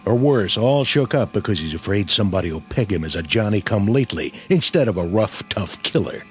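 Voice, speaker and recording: An actor playing a war-weary, humane army sergeant in a radio drama, dry and sarcastic with a folksy manner. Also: male voice, lowish voice, expressive, brisk, slight background noise, natural voice. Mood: bitter, defiant. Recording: radio drama with faint music underneath.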